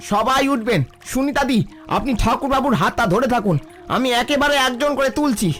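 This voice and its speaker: Very high-pitched, brave village riverman played by a man. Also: a little fast, fairly bright tone, faint music behind. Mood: firm, reassuring. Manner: urgent, firm, reassuring. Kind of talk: cartoon dialogue.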